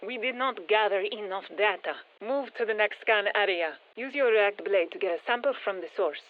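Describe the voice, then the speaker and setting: Scientist over narrow radio. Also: female voice, mid-range voice, moderate pace. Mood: calm, instructive. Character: composed female scientist-engineer guiding soldiers against alien parasites. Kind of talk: scripted game mission briefing.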